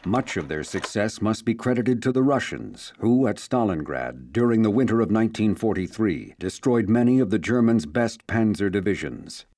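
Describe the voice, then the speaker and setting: A man voicing a sober, measured narrator of World War II history in a video game. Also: lowish voice, expressive, darkish tone. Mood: grave, measured. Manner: measured, grave. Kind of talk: scripted documentary-style narration. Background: music.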